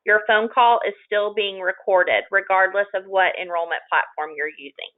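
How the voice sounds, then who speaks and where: A woman of average pitch moderates an informal webinar. She talks conversationally, hesitating and rewording as she goes. Her audio is narrow and tinny, like a telephone line. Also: fairly even intonation, moderate pace. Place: online or phone conference call. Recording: tinny, phone-like call audio.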